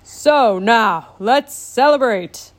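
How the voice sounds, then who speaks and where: A commanding sea king with a deep, booming delivery that fills every word, as a woman reading a storybook puts him on. He speaks slowly and with weight, a stern old ruler used to being obeyed. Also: expressive. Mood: jubilant. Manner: slow and commanding.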